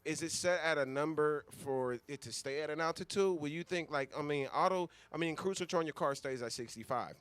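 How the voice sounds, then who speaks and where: An American man co-hosting a radio show with casual, profane, slangy banter and an animated street manner. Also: a little high, a little fast, hardly any pauses, smooth, no room sound. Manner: animated street-slang talk, often asks "you know what I'm saying".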